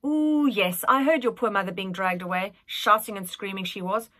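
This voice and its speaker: A woman's voice: mid-range voice, moderate pace, pushed and bright, lightly acted. A woman voicing a plump, cheery old nanny in her eighties who fusses and scolds fondly with emphasis. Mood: indignant, plucky. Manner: fussing, emphatic, scolding affectionately.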